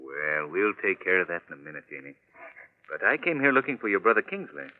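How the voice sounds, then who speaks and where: A suave, playful clubman detective with a lively, swinging delivery. He is urbane and teasing in the old radio manner, heard through a thin, tinny vintage recording. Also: low voice, fast. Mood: reassuring. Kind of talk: 1940s radio drama acting.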